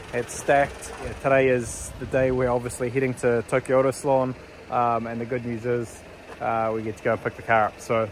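A man's voice: mid-range voice, slight background noise. A man, giving casual, exclamatory vlog narration into a handheld phone. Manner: informal, exclamatory, slangy. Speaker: vlog host, race car driver. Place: on the move outdoors, in a vehicle and in a large exhibition hall. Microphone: handheld phone at arm's length. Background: music.

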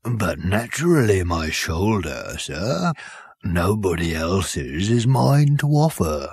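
A man voicing a courteous fat bovine creature that offers itself as the dish of the day, in a slow, ingratiating way with a husky voice. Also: low-pitched, sing-song, a little mellow, heavily acted. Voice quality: gravelly and husky.

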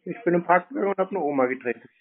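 A laconic man on a prank phone conversation, playing dumb and answering deadpan. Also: sits high, very fast, phone-quality sound. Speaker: prankster answering scam calls.

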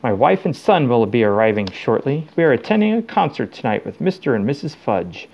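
A man's voice: medium pitch, sing-song, a little fast, darkish tone. A man voicing a deluded older official who fluently and officiously gabbles orders to nobody. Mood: brisk, self-satisfied.

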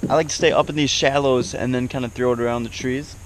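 A man's voice: mid-range voice, steady tone, fast talker, low-quality recording. A young man talking casually and unscripted to a camera on his kayak while fishing outdoors. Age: young adult. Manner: informal, unscripted. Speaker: fishing vlogger. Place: outdoors on a kayak on a small lake. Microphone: action camera mounted on the kayak, a step or two away. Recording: loud background noise, outdoor action camera.

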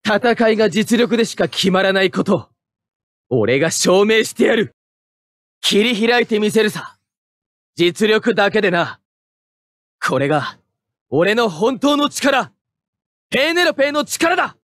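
Proud young robot pilot, anime game battle barks, hot-headed, forceful, very animated pitch, dry studio sound. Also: male voice, measured pace, pauses often, no echo. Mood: resolute. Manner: forceful, cocky shouting of short attack calls.

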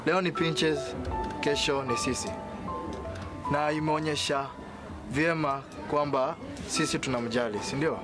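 A man acting a solemn leader of mourners in a radio drama, measured and exhorting, with a Kenyan accent. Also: a little high, over loud music, close to an everyday voice.

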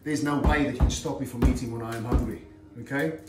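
A man's voice: mid-range voice, steady tone, quick pace. A middle-aged man, a former heavyweight fighter, chatting casually while he demonstrates cooking in his home kitchen, recorded by a camera set across the counter. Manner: chatty, plain-spoken, lots of fillers and "okay". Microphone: far camera on the counter. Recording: roomy kitchen sound from a distance, faint music.

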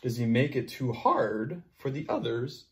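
A young man teaching young children, playful and animated, cheering them on and making sound effects while he reads a picture book aloud in a classroom. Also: medium pitch, a little slow. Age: young adult. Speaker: preschool teacher. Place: classroom with word cards on a board.

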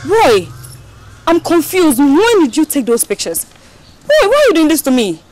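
An actress playing a distressed pastor's wife who pleads insistently in a screen melodrama. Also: female voice, high-pitched, sing-song, moderate pace, a little mellow. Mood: hurt, confused. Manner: pleading, repetitive.

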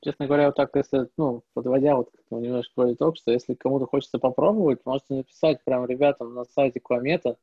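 A man's voice: mid-range voice, steady tone, fast, few pauses, no room sound. Man, 30s, easygoing matter-of-fact speaker, dry, slightly muffled earbud audio. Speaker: conference speaker. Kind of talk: casual Q&A on a video call. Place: small wood-paneled room. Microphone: earbuds on a video call.

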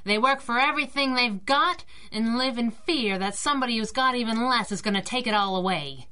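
A woman's voice: fairly high pitch, lively intonation, a little fast, bright, forceful tone, clear, resonant tone. An actress playing a brash, feisty young working-class woman driver in a 1930s radio drama, slangy and wisecracking. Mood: defiant, sarcastic.

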